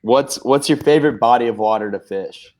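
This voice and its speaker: A man's voice: mid-range voice, a little fast, a little mellow. A man with an American accent hosting a casual interview in a laid-back, affirming way. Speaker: interview host.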